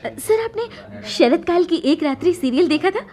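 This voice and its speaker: A woman's voice: extremely high pitch, lively intonation, moderate pace, dark tone. A woman playing a deferential, eager small-time actress in a radio play, hesitant and polite in her conversation. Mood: hesitant, modest. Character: deferential, eager struggling actress greeting old acquaintance.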